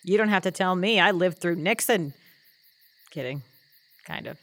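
An actress playing a wry, kindly older small-town mother in an audio drama, talking in a folksy, gently teasing way. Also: lowish voice, animated, normal speed, no echo. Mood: wry, consoling. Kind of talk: naturalistic audio drama acting.

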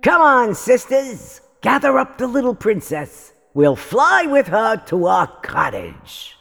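A woman playing a bossy older fairy, the brisk no-nonsense leader of her sisters, in a fairytale audio drama. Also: on the high side, big pitch swings, creaky, a bit bright, dry recording, clean studio sound, heavily acted. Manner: commanding, no-nonsense.

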